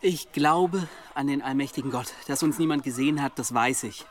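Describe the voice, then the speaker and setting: An actor playing a bossy, pragmatic young monk with an impatient, commanding manner in a German radio drama. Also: male voice, medium pitch, moderate pace, noisy background, natural voice. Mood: firm, dismissive.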